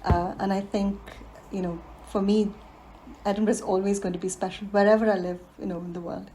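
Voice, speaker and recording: A woman's voice: mid-range voice, little pitch movement, fairly quick, slight background noise, rough recording. A woman in her forties from India, speaking reflectively in a podcast interview and laughing often. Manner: warm, reflective, laughs often, many fillers. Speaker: guest, environmental design professional.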